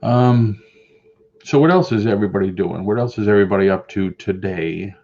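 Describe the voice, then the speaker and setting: A man's voice: on the low side, a little fast, mellow, muffled recording. A middle-aged man with a Boston accent, chatting casually on a home live stream, rambling and answering viewer comments. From Boston area. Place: home room with shelves of collectibles.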